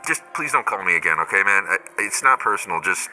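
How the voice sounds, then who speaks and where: A man's voice: sits low, animated, fast, music in the background, poor audio. A man playing an exasperated, blunt, foul-mouthed caller in an improvised comedy voicemail skit. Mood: weary, apologetic. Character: exasperated, foul-mouthed man phoning his clingy friend.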